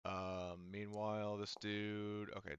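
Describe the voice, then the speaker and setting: A man's voice: lowish voice, little pitch movement, slow pace, clear, resonant tone, dry recording, muffled recording. A man running a tabletop roleplaying game, narrating combat and dice rolls in a conversational, profane way. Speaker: game master.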